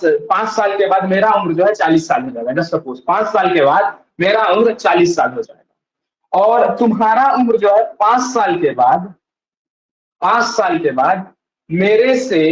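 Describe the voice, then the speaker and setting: High-voiced male maths teacher, echoey room. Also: highs cut off. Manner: asks and answers his own questions, repeats steps. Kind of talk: classroom maths lesson.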